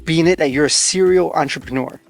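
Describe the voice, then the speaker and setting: A man's voice: a little high, fairly quick, vocal fry, soft background music. A man hosting a casual interview, talking in an effusive, informal way. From born in Argentina, raised in the US. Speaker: podcast host.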